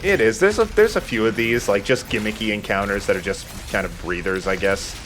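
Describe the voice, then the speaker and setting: A man's voice: fairly low pitch, a little fast, fairly bright tone, faint music behind. A man giving casual let's play commentary in a playful, self-deprecating way, swearing casually. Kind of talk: casual gameplay commentary with banter.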